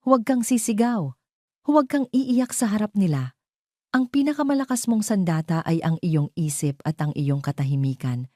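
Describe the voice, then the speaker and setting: A woman with a Filipino accent voicing a calm, professional woman mentor in an audio story, measured and instructive. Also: mid-range voice, no echo, clean studio sound, voice pushed up, lightly acted. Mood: firm, instructing.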